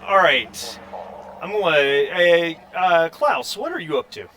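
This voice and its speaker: A man running a tabletop roleplaying game as game master, speaking in a halting, thinking-aloud way. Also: fairly high pitch, sing-song, bright, forceful tone. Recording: clearly noisy background hum.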